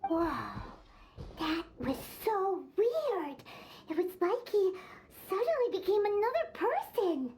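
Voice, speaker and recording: A woman voicing a small, childlike, excitable and naive companion character in a video game, with wide-eyed bluntness. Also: extremely high pitch, slow pace, a little hiss or hum, heavily acted. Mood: amazed.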